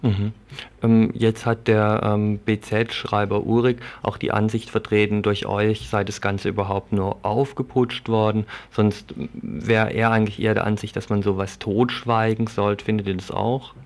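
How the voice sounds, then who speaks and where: A male radio interviewer with a gravelly edge to his voice puts long questions in a live news interview. His phrasing is measured and hesitant, and his intonation stays quite flat. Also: lowish voice.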